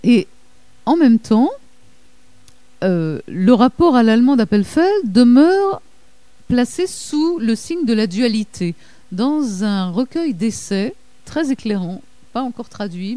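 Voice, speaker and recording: Measured woman giving a lecture. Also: mid-range voice, mellow, no echo. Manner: measured, hesitant with fillers and self-corrections. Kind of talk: academic lecture reading quotations.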